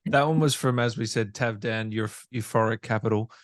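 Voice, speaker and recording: A man's voice: fairly low pitch, measured pace, no room sound, clean studio sound. A man hosting an interview in a casual, chatty style, putting questions to his guest. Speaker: interview host.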